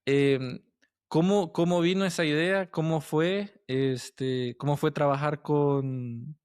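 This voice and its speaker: A man hosting a casual podcast interview, halting as he searches for words and often checking with tag questions. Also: a little high, steady tone, darkish tone, smooth, no echo, clean studio sound.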